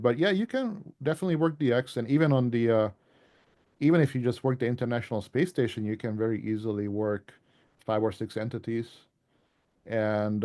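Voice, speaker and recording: A man with a mellow, relaxed voice at a middling pitch gives an informal talk to a club. He comes across as an author chatting through his material, conversational and rambling, drifting into asides. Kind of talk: informal presentation to a radio club.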